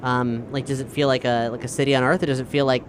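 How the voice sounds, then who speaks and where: A man's voice: medium pitch, flat delivery, fast, background noise. A man playing a tabletop roleplaying game, talking casually and tentatively as he thinks aloud and repeats words. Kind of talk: casual improvised game discussion. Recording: music clearly audible behind voice. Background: background music.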